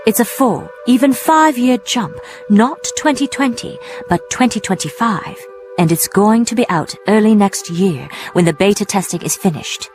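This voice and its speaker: An actress playing a businesslike tech company representative in a radio drama, polite, measured and firm. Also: female voice, medium pitch, expressive, darkish tone, faint music behind, close to an everyday voice. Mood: promoting.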